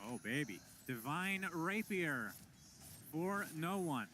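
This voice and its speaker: A man doing play-by-play commentary on an esports match, quick to burst into exclamations. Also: fairly high pitch, a little hiss or hum. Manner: excitable, breaks into exclamations. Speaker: esports caster.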